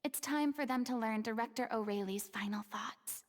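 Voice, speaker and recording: A woman voicing a gentle, thoughtful young female adventurer in a game, speaking softly and breathily. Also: on the high side, normal speed, background noise, close to an everyday voice. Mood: solemn. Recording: reverberant game audio.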